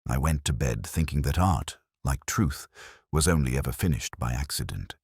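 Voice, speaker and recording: A man with an exceptionally low voice narrates a mystery as a melancholy detective. His delivery is measured and literary, yet the pitch moves expressively from phrase to phrase. The sound is husky and very close and dry. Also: expressive, no echo. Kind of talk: scripted first-person audio mystery narration.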